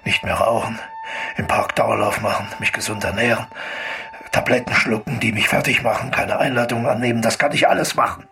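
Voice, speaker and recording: An actor playing an agitated, pleading male writer with a gravelly voice in a radio drama. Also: medium pitch, wildly swooping pitch, fairly quick, bright, forceful tone, recorded from a distance, full character voice. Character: agitated male writer, middle-aged or older, heart patient, pleading.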